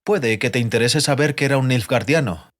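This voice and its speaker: An actor playing a curious, witty bard with a theatrical, inquisitive manner in a fantasy audiobook. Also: male voice, mid-range voice, brisk, fairly bright tone, natural voice. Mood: earnest, insistent. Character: curious male bard poet, uneasy but witty. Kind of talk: dramatized fantasy audiobook dialogue.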